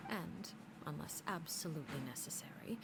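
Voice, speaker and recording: Casting: cool, formal female game leader with veiled menace, lowish register, noisy backdrop. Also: animated, vocal fry. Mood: cool bargaining. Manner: formal, measured, politely veiled. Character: cool, calculating commanding woman crime-house leader, veiled menace. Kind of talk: scripted game dialogue.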